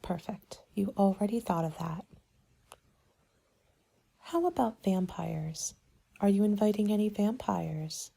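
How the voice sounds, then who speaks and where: A woman speaking softly in an ASMR roleplay as a party planning agent, polite and measured, sometimes slipping toward a whisper. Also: medium pitch, lively intonation, gravelly, breathy edge. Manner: polite, measured, businesslike consultant patter. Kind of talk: soft-spoken ASMR roleplay, partly whispered.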